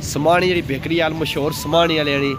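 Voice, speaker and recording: A young vlogger strolls an outdoor bazaar and chats with shopkeepers. His voice sits fairly high, and loud music plays under him. The sound comes from a handheld camera at arm's length. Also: fairly even intonation, quick pace. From Azad Kashmir, Pakistan. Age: young adult. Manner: chatty, greets shopkeepers warmly with questions. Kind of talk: casual street vlog interviews.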